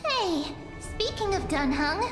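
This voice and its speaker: A woman's voice: extremely high pitch, very animated, takes it slowly, echoey room, long reverb tail, over music, full character voice. A woman voicing a small, playful, chirpy fairy-like companion in a video game, with a teasing manner.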